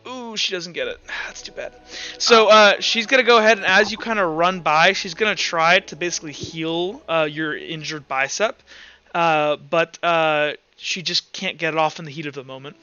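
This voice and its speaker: A man runs a tabletop game in a high, forceful voice. He talks conversationally, working out rules aloud as he goes. The mic sounds a little lo-fi, with faint music behind him. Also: moderate pace, clear, resonant tone. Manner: informal, conversational, thinking aloud. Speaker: game master. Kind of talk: casual tabletop game narration and rules talk. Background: soft music.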